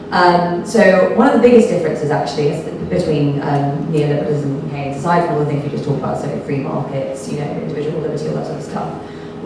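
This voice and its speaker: A woman researcher giving a talk at a panel event, her voice slightly croaky, explaining steadily with many ums and kind-ofs. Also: sits low. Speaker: think tank researcher, panel speaker. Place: event hall. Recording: echoey hall, from far off, noisy.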